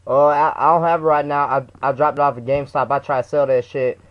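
A man's voice: medium pitch, steady tone, quick pace, natural voice. A young man putting on a slangy, stammering, aggrieved customer for a prank phone call. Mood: plaintive. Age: young adult. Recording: dull lo-fi webcam audio.

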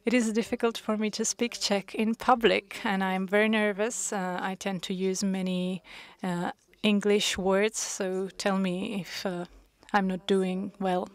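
Brief: woman interpreter, unhurried delivery, mid-range voice, hesitant pauses between phrases. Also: mid-range voice, flat delivery. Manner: measured, with frequent hesitations. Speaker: conference interpreter. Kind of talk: simultaneous interpretation of a panel discussion.

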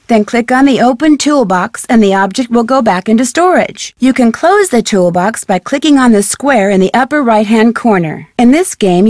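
Casting: young female sleuth voiced by an actress, highish pitch, friendly and instructive game-tutorial read, dry studio sound. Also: no echo, natural voice. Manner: clear, instructive, self-assured. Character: friendly, curious young female amateur detective.

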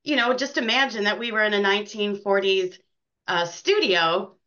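Woman, American accent, slightly muffled lo-fi recording, medium pitch, casual interview guest. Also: moderate pace, sharp tone. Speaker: guest, community theater co-director.

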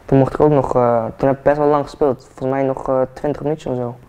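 An eighteen-year-old man, a football player, chatting relaxed and hesitant with many fillers while getting a haircut in a barbershop. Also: medium pitch, fast talker, smooth. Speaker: football player, interview guest. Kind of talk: casual interview chat. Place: barbershop, indoors, seated in barber chair.

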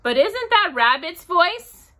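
A woman voicing a naive, greedy, good-natured male bear in a storybook reading, in a high singsong voice. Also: big pitch swings, takes it slowly, pushed and bright, exaggerated voice. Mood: cheerful.

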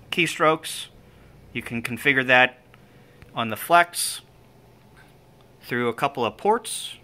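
Bright-voiced man, unhurried demo. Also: mid-range voice. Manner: halting, step-by-step explaining in short phrases. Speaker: amateur radio hobbyist demonstrating equipment. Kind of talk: informal hands-on demonstration. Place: indoor room with equipment on folding tables. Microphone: handheld camera or phone, operator behind it.

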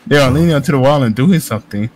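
A man's voice: medium pitch, racing, heavy background noise. A man reading a visual novel aloud as a let's-play host, with crude jokes, swearing and exclamations. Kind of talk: casual gaming commentary with read-aloud narration.

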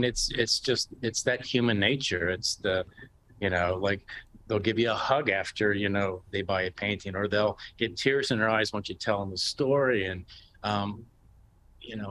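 A man's voice: fairly low pitch, a bit bright, a little hiss or hum, poor audio. An American man in his sixties answering interview questions casually over a video call. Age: 60s. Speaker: interview guest, painter. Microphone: video call, sitting back from the device.

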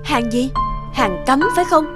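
A woman's voice: high-pitched, expressive, normal speed, over music. A woman playing a struggling young mother and street peddler in a cartoon, exasperated and dramatic, weary yet defiant. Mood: wary. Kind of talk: dubbed cartoon acting.

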